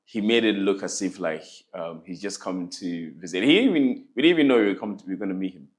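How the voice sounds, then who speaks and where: A man in his thirties from Ghana, telling his life story in a relaxed interview with many pauses and fillers. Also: low-pitched. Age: 30s. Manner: hesitant, many fillers, laughs often. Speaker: interview guest.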